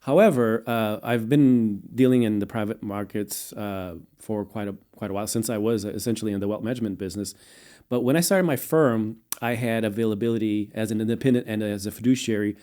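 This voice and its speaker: Guest man, low and mellow, measured and halting, raised in Portugal. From United States, raised in Portugal. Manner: measured, many fillers and restarts. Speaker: guest, venture capitalist. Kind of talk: casual interview answers.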